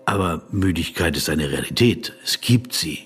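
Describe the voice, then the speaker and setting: An actor playing an earnest, politely persistent philosopher in a radio play, with a husky voice and a reflective, probing manner. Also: male voice, low voice, lively intonation, moderate pace, fairly bright tone, soft background music, heavily acted. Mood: resigned, probing.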